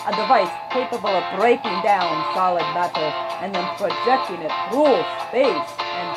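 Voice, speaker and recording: A woman's voice: mid-range voice, animated, over loud music, close to an everyday voice, rough recording. Woman doing a pompous mad scientist. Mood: lecturing. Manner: lecturing, fast and pompous, put-on German exclamation. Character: scheming mad scientist, grand and theatrical.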